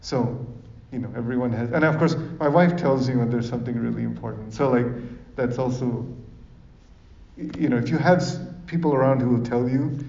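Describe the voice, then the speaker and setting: A man's voice: medium pitch, a little fast, dark tone. A man from Sri Lanka giving an informal talk as a speaker, in a conversational manner that keeps checking for agreement. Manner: conversational, often seeks agreement with tag questions. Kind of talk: informal public talk with audience questions. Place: echoey room in front of an audience. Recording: roomy, dull, distant microphone recording.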